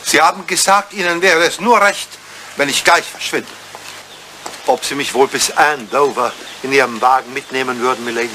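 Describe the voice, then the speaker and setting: A man's voice: mid-range voice, normal speed, pushed and bright, a little hiss or hum, natural voice. An older man acting in a radio play as a proud, dignified old butler, formal, stubborn and stiffly courteous. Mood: resigned.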